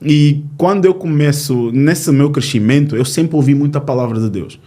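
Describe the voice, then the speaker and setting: A man in his thirties from Angola, a youth ministry leader, telling his faith story in an earnest, storytelling way with filler pauses in a podcast interview. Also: on the high side, warm tone. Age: 30s.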